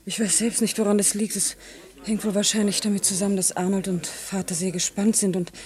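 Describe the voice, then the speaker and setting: A woman in a radio play, playing an artist's serious, skeptical daughter, with a measured, hesitant and earnest manner. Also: mid-range voice, level tone, a little mellow, natural voice. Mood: uneasy. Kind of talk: German radio play acting.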